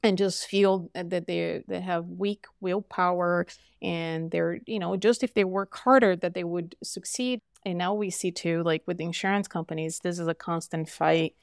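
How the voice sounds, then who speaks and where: Gravelly woman doctor, earnest. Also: lowish voice, steady tone, moderate pace, no room sound, studio quality. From Brazil. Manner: earnest and emphatic, frequent fillers. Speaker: physician guest. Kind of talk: conversational interview.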